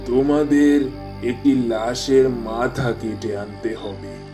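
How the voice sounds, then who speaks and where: Man acting a slow, sinister cloaked stranger. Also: mid-range voice, warm tone, faint music behind, lightly acted. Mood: calm menace. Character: mysterious sinister cloaked stranger offering grisly paid work. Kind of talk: cartoon horror tale acting.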